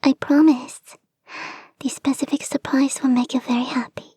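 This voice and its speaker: A woman playing a clingy, teasing fox spirit girlfriend in an intimate ASMR roleplay, speaking in a soft, breathy whisper. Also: high-pitched, level tone, normal speed, no room sound. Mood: playful, coaxing. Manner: coy, doting, playfully teasing. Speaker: voice actor in a roleplay.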